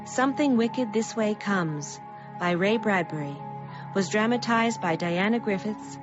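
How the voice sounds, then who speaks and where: A woman announcer delivers scripted radio credits at a medium pitch. Music plays clearly behind her, and the recording is a little dull. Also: a little slow.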